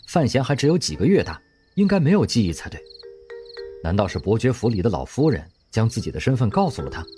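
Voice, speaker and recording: A low-voiced man narrates an audio drama, his pitch rising and falling freely as he tells the story with feeling. Soft music plays behind him, with crickets chirping. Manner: expressive storytelling.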